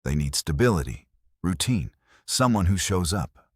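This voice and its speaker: A man with a very deep, husky voice voicing a grieving widowed businessman in his early forties, speaking careful, measured English with a Korean accent. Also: sing-song, no room sound, clean studio sound, heavily acted. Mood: wistful. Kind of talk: storybook narration.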